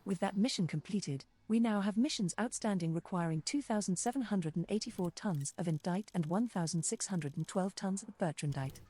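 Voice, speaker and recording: Bright female spaceship co-pilot voiced by a woman. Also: mid-range voice, a little fast, hardly any pauses, breathy edge, close to an everyday voice. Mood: obliging. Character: female spaceship co-pilot assistant, obliging, cheeky. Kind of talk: scripted voice-pack announcements in a space game.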